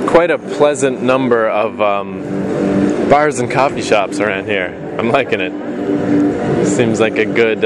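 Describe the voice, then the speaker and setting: A man in his late twenties walking through a rainy city, musing casually into his camera and swearing freely. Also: on the low side. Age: 20s. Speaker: vlogger. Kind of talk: walking vlog, rambling reflection. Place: outdoors on rainy city streets. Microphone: handheld camera mic. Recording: outdoor camera audio with street noise. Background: street and traffic noise.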